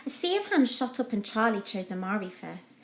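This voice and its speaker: A woman's voice: mid-range voice, very animated, moderate pace. A woman reading a children's story aloud as a scripted storytime narration. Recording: echoey room.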